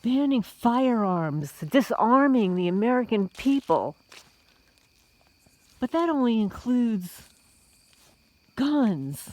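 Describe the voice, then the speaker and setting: A woman's voice: on the high side, sing-song, slow, long pauses, darkish tone, dry recording. A middle-aged woman from the Bronx talking to viewers in a casual, indignant vlog monologue full of rhetorical questions. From the Bronx, New York. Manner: indignant, rhetorical, addresses listeners as folks. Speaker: homesteading vlogger.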